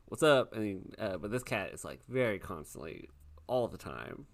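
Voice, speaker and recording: Casual male podcaster, lowish pitch with very lively intonation, rambling and self-interrupting. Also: moderate pace, creaky. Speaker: podcast host and player. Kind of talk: casual chat.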